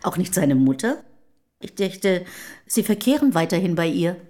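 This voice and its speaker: An actress in a German radio play playing a proud, self-assured middle-aged wife of a poet, speaking in formal, measured period diction. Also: female voice, lowish voice, big pitch swings, mellow. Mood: cool, proud.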